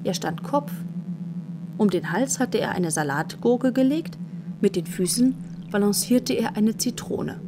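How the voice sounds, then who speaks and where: A woman as the calm, measured, deadpan narrator of a German children's radio play. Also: mid-range voice, noisy background, lightly acted. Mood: calm, curious. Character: calm female storyteller of a children's tale. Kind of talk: scripted narration.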